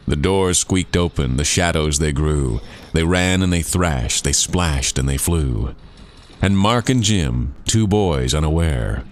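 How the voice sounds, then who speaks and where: Very deep-voiced man telling a spooky tale. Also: slight background noise. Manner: suspenseful, theatrical, drawn-out delivery. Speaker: author reading own poem. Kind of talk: dramatic spooky rhymed storytelling narration.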